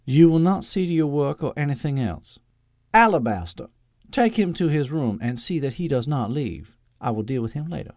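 A man's voice: fairly high pitch, animated, moderate pace, a little mellow, no room sound, clean studio sound, voice pushed up. A man playing a sing-song Southern belle in her sixties. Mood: grandly charming. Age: 60s. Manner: sing-song, theatrical, imperious.

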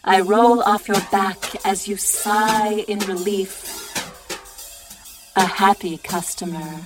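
Woman acting a slow, sultry seductress over music. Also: mid-range voice, fairly even intonation, natural voice. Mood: languid, sly. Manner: slow, sultry, deliberate delivery. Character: seductive, brazen woman murmuring provocative monologue. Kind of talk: dramatic spoken-word monologue.

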